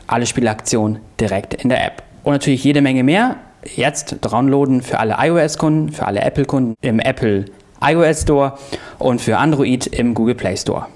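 A man's voice: medium pitch, moderate pace, darkish tone. A man reading a scripted promotional voice-over. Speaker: promo narrator.

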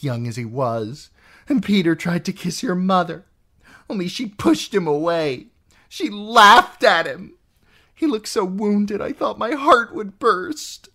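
A shrill, jealous noble lady. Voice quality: shrill, piercing. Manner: accusing, spiteful, rising into screeching fury. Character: jealous, unhinged middle-aged noblewoman. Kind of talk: audiobook character voice.

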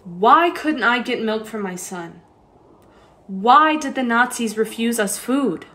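A woman playing a high-voiced, anguished young mother. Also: animated, slow, a bit bright. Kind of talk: student radio play acting.

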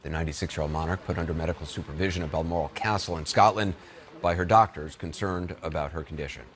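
A man anchoring live breaking news in a steady, authoritative way. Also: low-pitched, quick pace.

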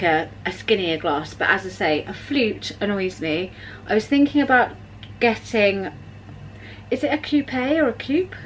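Woman, 30s, home vlogger, chatty and exasperated, mid pitch, faint room noise behind. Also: low-quality recording. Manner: chatty, exasperated storytelling with swearing. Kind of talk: casual chat to camera. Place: home kitchen, indoors. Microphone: camera at arm's length.

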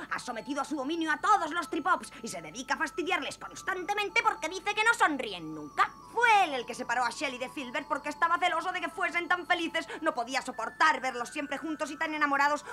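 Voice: high voice, bright, forceful tone, full character voice. An actor voicing a small, fretful shelled alien in a children's cartoon, plaintive and accusing in an emphatic way. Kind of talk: dubbed children's cartoon acting.

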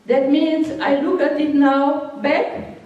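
A woman's voice: sits high, little pitch movement, slow pace, muffled recording. An older woman with a Hungarian accent, speaking frankly and laughing often as she answers workshop questions. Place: large hall with seated audience. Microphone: handheld microphone, recorded from far off. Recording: echoey hall from far off.

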